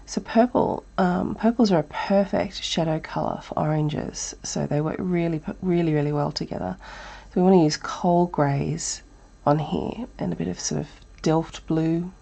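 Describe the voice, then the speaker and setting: A woman with an Australian accent, teaching a relaxed live drawing lesson while thinking aloud, her voice croaky and breathy from a chest cold. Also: low-pitched, normal speed, hardly any pauses, lo-fi sound. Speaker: art teacher.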